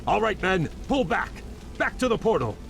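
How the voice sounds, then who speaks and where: An actor playing a proud, resolute young elf prince in a fantasy game, speaking in a formal, commanding way. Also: male voice, sits high, sing-song, moderate pace, vocal fry, fairly bright tone, soft background music, heavily acted. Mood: urgent, commanding. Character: proud, resolute young elven prince leading his exiled people. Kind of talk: fantasy video game voice acting.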